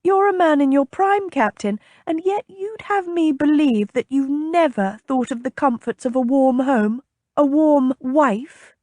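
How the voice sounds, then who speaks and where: A woman's voice: high voice, animated, measured pace, darkish tone, dry recording, pitched above the performer's own voice. A woman voicing a chatty, impulsive young noblewoman in an audiobook, with a bubbly, coaxing, inquisitive manner. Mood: incredulous. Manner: bubbly, coaxing, earnest, prying.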